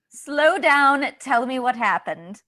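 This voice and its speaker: A woman's voice: high voice, expressive, slow, bright, forceful tone, no echo, exaggerated voice. An actress playing a sensible, dry-witted and studious young Victorian woman in a radio drama, prim and wry. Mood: amused, curious.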